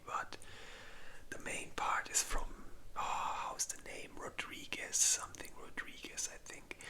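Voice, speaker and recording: An ASMR creator speaking entirely in a breathy whisper, listing movies in a halting, informal way. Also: very high voice, sharp tone.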